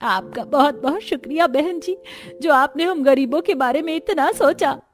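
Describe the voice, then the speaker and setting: A woman's voice: sits high, normal speed, voice pushed up, lightly acted. A woman voicing a poor, timid middle-aged mother in a cartoon story, humble and deferential. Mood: tearfully grateful.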